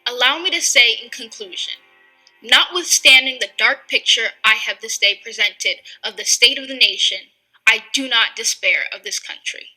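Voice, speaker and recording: A woman reading a historic speech as solemn oratory, deliberate and emphatic. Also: on the high side, pushed and bright, faint music behind, rough recording.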